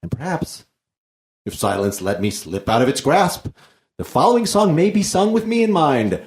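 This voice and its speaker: A man's voice: on the high side, big pitch swings, moderate pace. A man reading the part of a wordy, complaining comic servant in a classical play, with wry, theatrical flourishes. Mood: indignant, comic. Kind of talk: unrehearsed dramatic play reading of classical comedy. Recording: echoey room.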